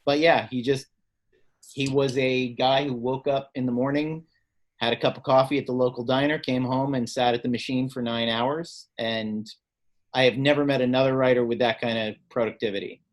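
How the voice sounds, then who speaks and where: A man's voice: mid-range voice, fairly even intonation, moderate pace. A man telling anecdotes casually as a guest reader at a literary event, speaking over a video call. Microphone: video call microphone.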